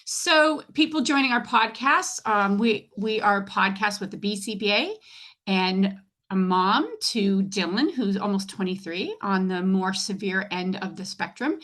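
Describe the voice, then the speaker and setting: A woman's voice: medium pitch, pushed and bright. A woman hosting a casual podcast interview in an enthusiastic, informal way. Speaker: podcast host.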